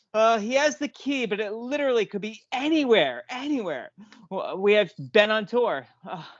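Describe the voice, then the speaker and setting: A woman's voice: mid-range voice, no room sound, natural voice. A woman playing a chatty, bitter married video store owner in a comic audio play, rambling and swearing freely. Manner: rambling, repeats herself, profane. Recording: dull lo-fi audio.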